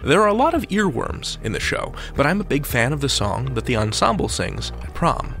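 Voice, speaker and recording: Animated man hosting a podcast countdown. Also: medium pitch, fairly quick, gravelly, faint music behind. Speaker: podcast host. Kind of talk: scripted countdown narration.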